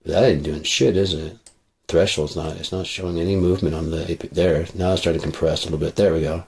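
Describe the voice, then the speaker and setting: A man's voice: low-pitched, fairly even intonation, fast talker, gravelly, darkish tone. A man talking casually on a livestream, rambling and swearing freely, into a processed studio microphone.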